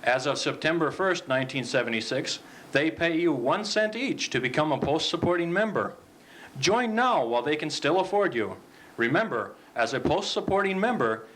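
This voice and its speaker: A man playing a folksy old-time pitchman, delivering a parody commercial with chummy salesman patter. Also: a bit bright, rough recording. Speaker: advertisement spokesman. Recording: faint noise, amateur 1970s recording.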